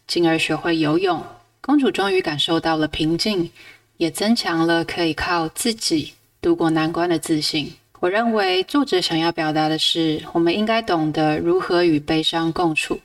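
Lady reviewing a book on a podcast, lowish creaky voice, kinda dry sound. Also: no room sound, recorded from a distance. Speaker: podcast host reviewing books. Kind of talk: scripted book summary narration.

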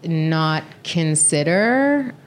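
A woman from Norway, founder of an education group, answering interview questions in a thoughtful, measured way. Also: low voice, lively intonation, slight background noise. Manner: thoughtful, measured, uses "um" and "you know". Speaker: guest, founder of an education organization.

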